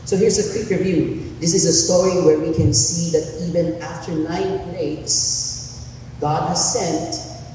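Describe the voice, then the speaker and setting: A man preaching a sermon as pastor, with a husky, hoarse voice, deliberately laying out numbered points and sometimes restarting a phrase. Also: a little high, mellow. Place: large church hall. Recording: echoey church hall from far off, faint noise.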